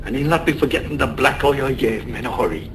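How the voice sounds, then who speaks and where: An actor playing a loyal, consoling Irish sailor, rough but tender, in a radio drama, with a lilting, hearty manner. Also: male voice, animated, fast talker, lightly acted. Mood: hearty, amused. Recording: noisy radio drama with rumbling ambience.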